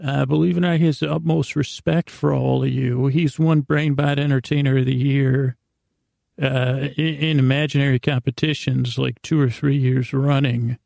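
A man hosting a bedtime podcast in a rambling, improvised monologue, halting and meandering with stammered restarts, self-deprecating and gently reassuring, in a gravelly voice. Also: mid-range voice, fairly even intonation, dry recording. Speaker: podcast host.

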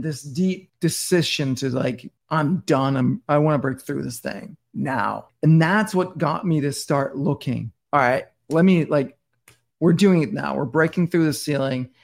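A middle-aged man from Denmark, a podcast guest talking casually and intensely, restarting his sentences often. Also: a little high. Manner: intense, rambling, many restarts and "like". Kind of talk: casual interview talk.

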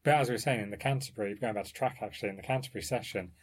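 A man with a fairly low voice speaks as a researcher in an informal academic discussion. He explains things discursively, qualifying his points as he goes. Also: rapid-fire, breathy edge.

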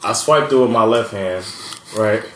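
An American man from Miami with a fairly high voice, telling stories in a casual podcast chat, laughing often and swearing freely. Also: normal speed, roomy sound, a little hiss or hum. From Miami, USA. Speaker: podcast co-host.

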